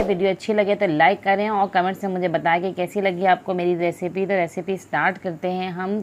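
A woman's voice: mid-range voice, a little hiss or hum. A woman hosting her home cooking channel, explaining each step in a chatty, repetitive way from her kitchen. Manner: chatty, instructive, repeats steps. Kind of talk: home cooking tutorial narration.

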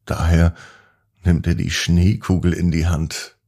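A man hosting a children's podcast, narrating expressively and gently with drawn-out emphasis. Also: low voice, level tone, husky. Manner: gentle, drawn-out emphasis, addressing young listeners. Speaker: children's podcast host and storyteller.

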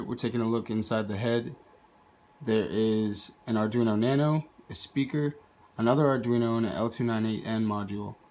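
A man with a fairly low voice talks through a homemade project. He presents it casually as a video host, recorded indoors on a handheld camera. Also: a little mellow. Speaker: video host, hobby robot builder. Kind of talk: casual narrated project demo.

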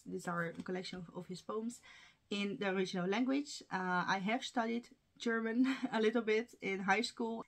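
A woman's voice: mid-range voice, fairly bright tone. A young woman whose first language is Russian, talking casually to camera from home about her reading plans, with fillers and small laughs. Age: young adult. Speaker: book vlogger. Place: home room with bookshelves. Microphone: camera framing, seated at desk.

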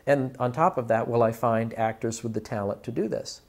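A man in his forties speaking deliberately and articulately in a reflective interview as an audiobook director. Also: mid-range voice, creaky. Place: small office, indoors. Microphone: lapel mic.

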